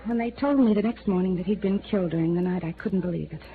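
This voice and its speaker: A woman's voice: medium pitch, quick pace, close to an everyday voice. A woman acting a guarded, troubled young ship passenger, earnest and hesitant, in 1950s radio drama style. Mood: wistful. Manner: earnest, hesitant, breaking off sentences. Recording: muffled old radio recording, faint noise.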